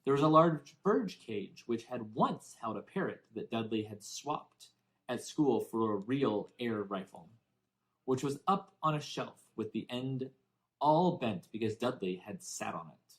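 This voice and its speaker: A man reading a children's novel aloud as expressive scripted narration. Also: mid-range voice, unhurried, low-quality recording. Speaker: audiobook reader for English learners.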